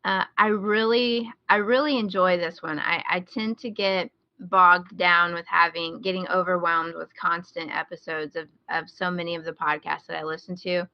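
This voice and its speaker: A woman's voice: lowish voice, fairly even intonation, normal speed, hardly any pauses, no room sound, highs cut off. A woman with an American accent chatting casually as a podcast co-host, recommending things she enjoys. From rural Midwest United States.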